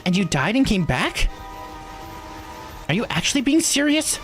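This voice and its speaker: An earnest young visual novel character, played by a man, speaks in a high voice. The delivery is conversational and emotive, with very lively swings in pitch. Soft music plays behind the lines. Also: moderate pace. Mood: shocked disbelief. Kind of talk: visual novel dialogue read with expression.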